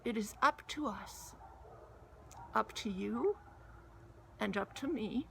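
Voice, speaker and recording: Female poetry reader, unhurried and creaky, measured delivery with soft endings, some room noise. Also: on the high side, animated. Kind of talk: slow, measured spoken-word poem recital.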